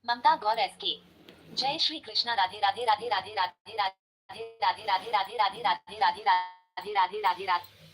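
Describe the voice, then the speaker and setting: A synthetic female voice that reads out viewers' usernames and comments on a live stream in a flat, even way. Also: medium pitch, normal speed, soft background music, poor audio. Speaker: text-to-speech comment reader.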